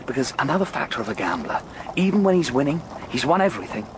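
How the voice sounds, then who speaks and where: A man's voice: mid-range voice, very animated, fast, natural voice. A man acting in a radio drama, playing a restless, thrill-seeking gambler who justifies himself intensely and intimately. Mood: fervent, musing. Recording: clear background vehicle noise.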